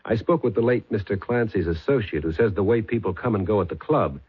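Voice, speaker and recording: An actor playing a persistent police sergeant with a clipped, probing way of questioning, in a 1970s radio drama. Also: male voice, lowish voice, expressive, brisk, slightly rough. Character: persistent middle-aged male police sergeant. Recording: tinny old radio broadcast.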